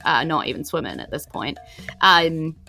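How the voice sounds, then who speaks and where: A woman's voice: sits low, lively intonation, normal speed, gravelly, bright, forceful tone. A woman, casually narrating a tabletop game podcast as game master over music. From New Zealand.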